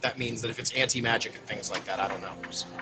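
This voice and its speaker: A man's voice: medium pitch, fairly even intonation, quick pace. A man playing a tabletop roleplaying game, casually talking through the rules. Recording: prominent music behind the voice. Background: background music.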